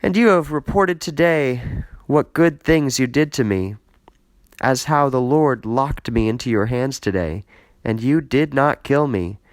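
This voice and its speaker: A man's voice: mid-range voice, a little slow, no room sound, voice pushed up, lightly acted. A man reading scripture aloud, voicing a humbled, remorseful king who speaks in a raised, lamenting way.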